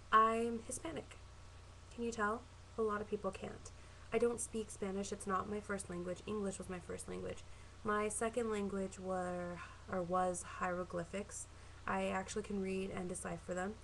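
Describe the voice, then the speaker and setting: A young woman in her twenties with a Southern Californian accent talks to her camera at home. Her voice sits at an ordinary middle pitch, and she rambles earnestly, trips over her words and corrects herself. A faint hum of room noise runs underneath. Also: poor audio. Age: 20s. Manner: chatty and earnest, with frequent ums and self-corrections. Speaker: vlogger. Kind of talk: casual self-introduction to camera.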